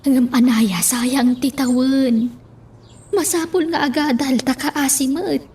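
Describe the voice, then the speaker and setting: A woman's voice: high-pitched, fairly even intonation, takes it slowly, a little mellow, a little hiss or hum. A woman playing a worried, insistent older mother figure in an Ilocano radio drama.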